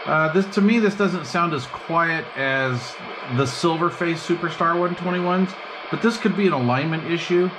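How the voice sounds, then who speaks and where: A man's voice: on the high side, normal speed. A man chatting casually and thinking aloud in slangy, unscripted talk as he bench-tests radio gear. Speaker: hobbyist host demonstrating and testing an old CB radio. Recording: noisy, radio static and chatter behind. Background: CB radio receiver hiss and chatter.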